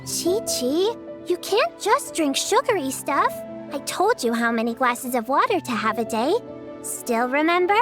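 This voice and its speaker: A sweet little girl who mothers her friends, very high voice, faint music. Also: very animated, measured pace. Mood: caring. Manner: sweet, earnest, looks after her friends. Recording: game audio with soft music underneath.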